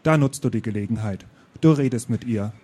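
A man reading aloud, voicing a pushy, eager know-it-all male friend with an excited, bossy manner. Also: mid-range voice, fairly quick, natural voice.

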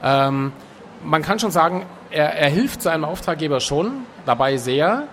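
A man with a slightly raised voice talks loosely about his work, filling his pauses with little hesitations. He sounds relaxed and conversational. A faint background hiss sits under the voice. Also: fairly high pitch. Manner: relaxed, chatty, with frequent filler sounds. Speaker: author and guest. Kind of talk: casual interview talk.

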